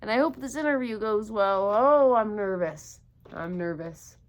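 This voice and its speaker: A woman's voice: mid-range voice, animated, unhurried, lo-fi sound. A woman reading a picture book aloud to children, full of gushing wonder and talking directly to her young listeners.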